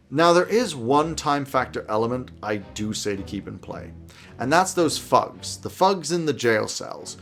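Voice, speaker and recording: A man in his thirties giving conversational advice to camera in an emphatic, opinionated way, as a video host. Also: medium pitch. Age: 30s. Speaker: host of tabletop roleplaying video channel. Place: small indoor home office.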